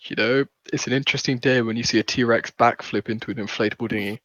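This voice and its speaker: A man's voice: medium pitch, steady tone, fast talker, a bit bright. A man in a tabletop game session, chatting and asking questions in a mid-range voice. His sound comes through a dull, lo-fi voice chat mic. It is dry, with no room echo. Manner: chatty, asks lots of questions. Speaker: player.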